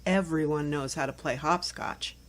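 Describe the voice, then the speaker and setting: A woman's voice: low voice, moderate pace, voice pushed down. Woman as a reserved, matter-of-fact young schoolgirl. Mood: unimpressed. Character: reserved new girl at school. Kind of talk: children's storybook read-aloud.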